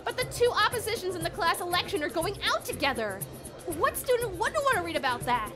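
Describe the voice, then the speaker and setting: A woman's voice: very high-pitched, very animated, fairly quick, distant mic, music in the background. A woman playing an eager teenage school newspaper reporter in an audio drama. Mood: eager, pleading.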